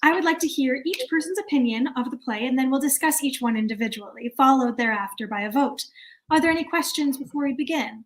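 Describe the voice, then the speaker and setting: A woman's voice: high-pitched, fast, close to an everyday voice. A woman acting a prim, officious committee chairwoman with a formal, fussy manner, in an amateur play reading. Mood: businesslike.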